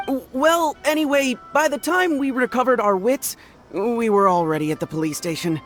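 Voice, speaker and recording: An actor playing a flustered, excitable, stammering gang sidekick in a video game. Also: medium pitch, normal speed, close to an everyday voice. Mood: sheepish. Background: music.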